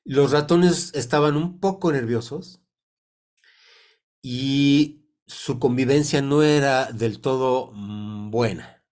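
An older man in his sixties speaks Spanish with a Mexican accent, his voice congested from a cold. He teaches informally over a video call at a slow pace, pausing often as he deliberately searches for each phrase. His pitch sits in an ordinary middle range for a man. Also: darkish tone. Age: 60s. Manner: halting, deliberate, with many pauses. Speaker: sound therapy teacher. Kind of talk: informal explanatory online talk. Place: indoor room on a video call. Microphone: computer mic on a video call.

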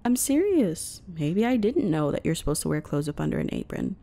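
A woman's voice: sits low, very animated, mellow, heavily acted. A woman playing a coy, teasing, dominant wife in an intimate roleplay audio, talking playfully to the listener. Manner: coy, teasing, slow breathy murmurs mixed with playful chatter.

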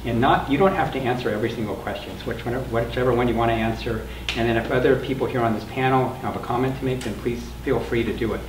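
A man's voice: mid-range voice, fast, few pauses. An older man moderating a panel, explaining things informally and conversationally in a meeting room. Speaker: interviewer and moderator of an oral history panel. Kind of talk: informal spoken introduction to a panel. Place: meeting room with a small audience. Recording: roomy, distant mic with clear background noise.

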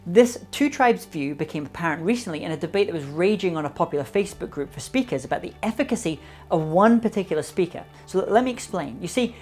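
A man's voice: high-pitched, expressive, fast talker, a little mellow, faint music behind. A man in his thirties giving a polished, emphatic scripted explainer to camera in a studio, speaking into a lapel mic. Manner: polished, emphatic delivery with gesturing hands. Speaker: business speaker and presenter.

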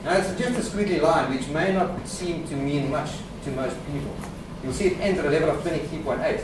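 A man gives a presentation at a fast clip, tossing in wry jokes between his points. He is picked up from a distance in an echoey hall, with clear background noise. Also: fairly high pitch. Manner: wry, joking asides with fillers. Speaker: conference speaker. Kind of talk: presentation talk. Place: conference hall.

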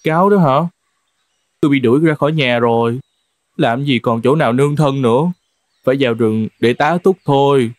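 A man's voice: medium pitch, moderate pace, no room sound, studio quality, natural voice. A man voicing a weary old horse in a cartoon folk tale, plaintive and resigned. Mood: bitter, helpless. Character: weary, despondent old male horse, loyal and cast out. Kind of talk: animated folk tale dialogue. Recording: music under the voice.

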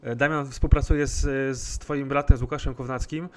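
Flat-voiced male interviewer on a call. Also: mid-range voice, moderate pace, a little hiss or hum. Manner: long winding questions with hesitations. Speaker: sports journalist, interviewer. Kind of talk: interview questions by video call. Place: indoor room at home. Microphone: video call.

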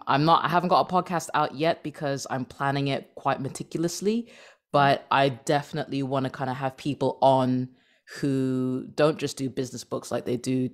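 A man speaks casually on a video call in a mid-pitched voice. He hedges a lot and uses fillers between his ideas. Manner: casual, hedging, many fillers. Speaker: networking guest.

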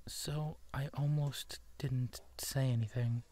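A young man performing a romantic ASMR roleplay, soft-spoken and often whispering, hesitant and tender. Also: medium pitch, fairly even intonation, slow.

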